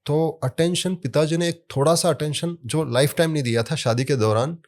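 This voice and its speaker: A man explaining sound therapy in a relaxed podcast conversation, telling stories from his work and leaning hard on key words. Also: fairly high pitch, moderate pace. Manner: storytelling with anecdotes, emphatic rises on key words.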